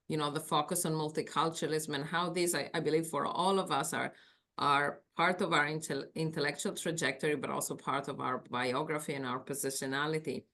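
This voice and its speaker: A woman's voice: low voice, a bit bright. A woman from Greece with a Greek accent, giving a conference talk from her office over a video call on her laptop, thoughtful and searching for words with fillers. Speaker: academic panelist.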